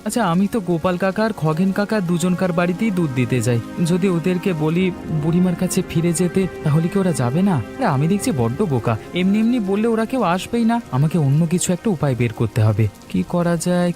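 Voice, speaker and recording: Kindhearted, crafty village milk boy, earnest and high-pitched, played by a man, for a cartoon story. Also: animated, fairly quick, over music. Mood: earnest, pondering. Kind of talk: cartoon voice acting.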